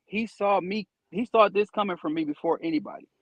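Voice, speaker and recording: A man's voice: sits high, fairly quick. A young man guesting in a casual conversation, laid-back, repeating himself as he explains at length.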